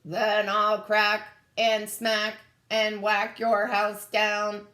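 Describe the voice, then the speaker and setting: A woman voicing a big bad male robot in a children's storybook, with slow, drawn-out threats. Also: mid-range voice, monotone, pushed and bright. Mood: threatening. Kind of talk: children's storybook read-aloud. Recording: echoey room.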